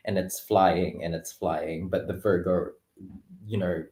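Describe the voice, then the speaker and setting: Deep-voiced man, echoey room, casual chat. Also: steady tone, measured pace, mellow. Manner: rambling, filler-heavy, uses "like" often. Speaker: guest tarot reader. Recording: echoey, roomy sound.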